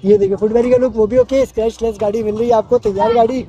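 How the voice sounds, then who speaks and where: High-voiced fast-talking young man. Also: fairly even intonation, dark tone. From Pakistan. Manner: chatty, repeats "bhai" and "okay". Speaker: car market vlogger. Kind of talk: walkthrough commentary. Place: outdoor car market. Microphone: handheld mic. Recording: dull lo-fi sound.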